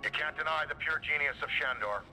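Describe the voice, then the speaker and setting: An actor playing an enthusiastic, chatty ghost-hunting scientist in a video game, marvelling in an eager, wordy way. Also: male voice, on the high side, fairly quick, music in the background, close to an everyday voice, rough recording. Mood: awed.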